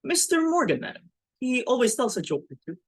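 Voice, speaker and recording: A woman's voice: a little high, sing-song, brisk. A woman playing a formal, deferential household servant in an amateur reading of a stage comedy.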